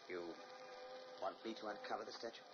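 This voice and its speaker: A man's voice: on the low side, steady tone, brisk, natural voice. An actor playing a nervous, tormented married man who stammers and protests, in an old radio drama. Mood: shaken. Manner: flustered, stammering, voice rising in protest. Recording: dull old radio recording with hiss.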